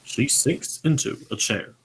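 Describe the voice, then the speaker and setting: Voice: measured pace, low-quality recording. A narrator reading stage directions aloud for a radio play, in a scripted narration style.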